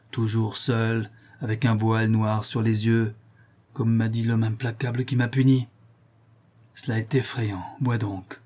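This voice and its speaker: A man reading an audiobook, voicing a blind, brutal old male murderer who is haunted by his crimes and speaks with brooding, hushed menace. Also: mid-range voice, slightly rough, lightly acted. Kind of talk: audiobook reading of a classic novel.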